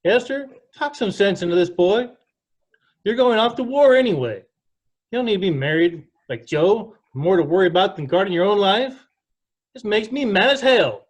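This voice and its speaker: A man plays a stern country father with a short temper in a stage drama. The delivery is animated, rising and falling widely. It is an amateur read on a video call. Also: high voice, normal speed. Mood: angry, scolding. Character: Tom, stern hot-tempered middle-aged country father. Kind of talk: amateur play table read.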